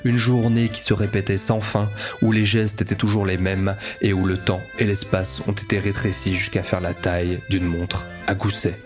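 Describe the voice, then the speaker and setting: Man as solemn, low-voiced storyteller. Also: level tone, normal speed, darkish tone, soft background music, close to an everyday voice. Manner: solemn, literary. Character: solemn storyteller narrating a time-loop tale. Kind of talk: scripted narration for audio fiction.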